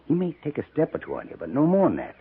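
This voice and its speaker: An actor playing a coarse, boastful buffalo skinner in a 1950s radio western, talking in a rough, folksy, rambling frontier manner. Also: male voice, a little high, huge pitch swings, very fast, narrow, tinny audio, heavily acted. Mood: boastful relish. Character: boastful, earthy old-West buffalo skinner, coarse and relishing. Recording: thin, band-limited 1950s radio recording.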